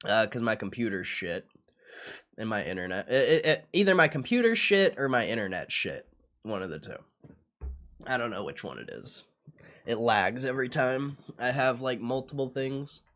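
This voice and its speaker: A man's voice: medium pitch, big pitch swings, normal speed, fairly bright tone. A young man chatting casually on a livestream in slangy, sweary speech, often repeating his phrases as he reacts. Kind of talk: casual livestream chat, reacting to what is on screen.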